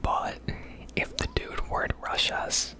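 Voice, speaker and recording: An American woman rambling candidly and casually in a breathy ASMR whisper, swearing now and then, recorded on a close microphone. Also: slow pace. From United States. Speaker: ASMR video creator.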